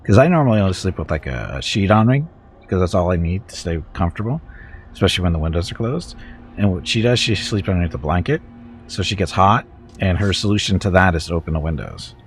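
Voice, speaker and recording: A man's voice: low-pitched, lively intonation, quick pace, slight background noise. A man with a stuffy head cold chatting casually with viewers while playing through a video game. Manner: chatty, wry, talks to viewers in chat. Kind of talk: casual livestream chat and reading game text aloud.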